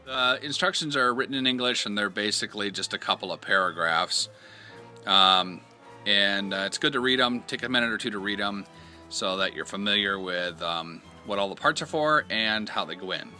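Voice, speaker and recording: A man's voice: lowish voice, expressive, moderate pace, pushed and bright, faint music behind. A man presenting a casual step-by-step instructional video, explaining things in a conversational, hands-on way. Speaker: host of an instructional product video.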